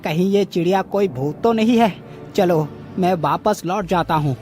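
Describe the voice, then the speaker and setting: A man's voice: high voice, moderate pace, over music, lightly acted. A man voicing a humble, superstitious bird-catcher in a cartoon story. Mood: baffled. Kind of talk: children's cartoon story voice acting.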